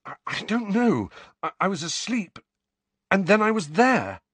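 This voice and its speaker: A man's voice: sits high, very animated, unhurried, a bit bright, breathy edge, no echo, voice pushed up, full character voice. A man voicing a panicked teenage wizard boy in an audiobook, breathless and urgently insistent. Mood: urgent, angry. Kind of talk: audiobook character acting.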